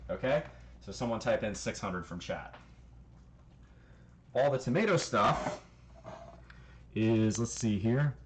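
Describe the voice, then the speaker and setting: A man chatting loosely to his stream chat while he cooks in his kitchen, swearing now and then and counting numbers aloud. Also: medium pitch. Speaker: streamer cooking on a livestream. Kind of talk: casual live cooking chat. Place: home kitchen, indoors. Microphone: handheld phone camera.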